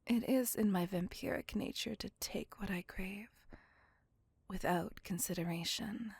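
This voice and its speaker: Woman acting as a doting vampire lady, slow breathy whisper with some creak, formal and courtly, asmr roleplay. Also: mid-range voice, no room sound, full character voice. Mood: earnest, reassuring. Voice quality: breathy, hushed near-whisper. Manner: formal, gentle, old-fashioned courtly phrasing. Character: courteous, doting, possessive female vampire on a date.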